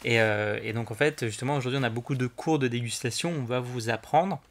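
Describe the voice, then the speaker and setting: A young man speaks as a sommelier guest, walking through the steps of a wine tasting. He explains things eagerly and patiently, in a voice of medium pitch. He often rushes ahead in fast bursts. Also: slight background noise. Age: young adult. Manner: enthusiastic and didactic, often speeds up.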